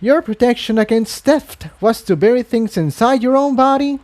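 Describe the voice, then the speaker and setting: A man's voice: very high-pitched, normal speed, a little mellow, pitched above the performer's own voice. A man voicing a haughty, outspoken female unicorn who is easily appalled, in a fantasy story.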